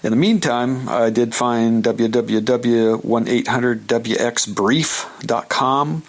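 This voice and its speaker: A man leaving a recorded voice message as a listener, speaking politely and haltingly as he sets out a question. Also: medium pitch.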